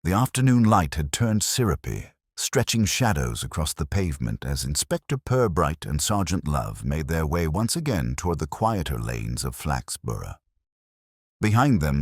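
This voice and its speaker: Very deep-voiced man narrating, crisp and lively. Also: dry recording, clean studio sound. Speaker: audiobook narrator. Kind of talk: scripted narration of a mystery story.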